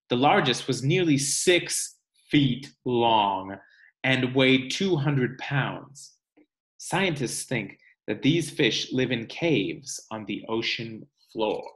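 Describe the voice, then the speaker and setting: A man in his thirties reading a children's nonfiction picture book aloud in a deliberate way, pausing for musing asides. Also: mid-range voice, animated. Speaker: read-aloud reader. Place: small indoor room at home.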